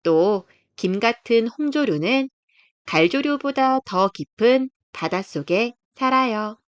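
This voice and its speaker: A woman's voice: a little high, expressive, slow, clear, resonant tone, no room sound, dull audio. A woman narrating a scripted children's nature book in a careful, explanatory read-aloud way. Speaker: storybook narrator.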